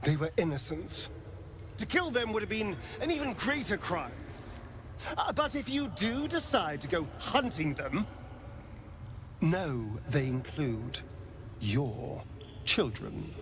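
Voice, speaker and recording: An actor playing a weary, wry vampire spawn in a dramatic video game, with halting, deliberate phrasing. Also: male voice, sits high, big pitch swings, background noise, heavily acted. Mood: wry, firm. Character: weary male vampire spawn, wry, newly freed after centuries. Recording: game music behind the voice.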